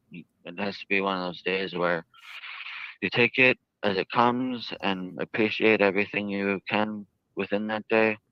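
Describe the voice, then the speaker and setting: A man giving interview answers in a halting, earnest way with stiffly formal phrasing. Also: sits low, clean tone, dry recording. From United States. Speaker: jail inmate being interviewed. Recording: dull lo-fi archived interview audio.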